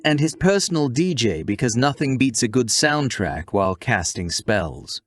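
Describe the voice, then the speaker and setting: A man's voice: medium pitch, sing-song, normal speed, no echo, studio quality. A man narrating a scripted comedic recap in a wry, playful way. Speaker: narrator of anime recap videos.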